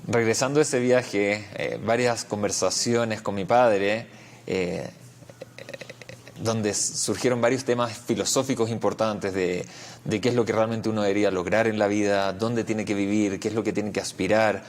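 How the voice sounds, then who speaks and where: A man's voice: fairly low pitch, fairly even intonation, moderate pace, slight background noise. A man with a Chilean accent, a rabbi answering interview questions reflectively, explaining and repeating words as he finds his phrasing.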